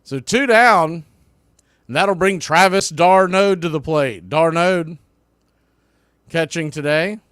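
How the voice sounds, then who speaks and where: A man's voice: on the high side, takes it slowly, long pauses, fairly bright tone, clear, resonant tone, no echo, clean studio sound. A man doing live baseball play-by-play in clipped phrases, with a folksy, partisan enthusiasm for the home team. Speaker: baseball play-by-play announcer for a fan stream. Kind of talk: live sports play-by-play commentary.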